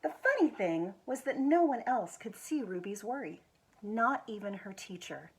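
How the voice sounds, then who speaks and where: An American woman with a husky voice reads a children's picture book aloud expressively, stretching words for emphasis. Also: fairly high pitch, rough recording. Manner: expressive, with drawn-out emphasis and quiet asides to show pictures. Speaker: executive director of a schools foundation, guest reader.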